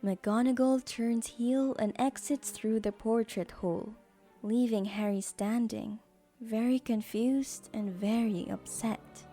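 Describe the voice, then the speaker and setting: A woman's voice: a little high, fairly even intonation, slow pace, dark tone, faint music behind. A woman giving scripted narration for an audio drama as its storyteller.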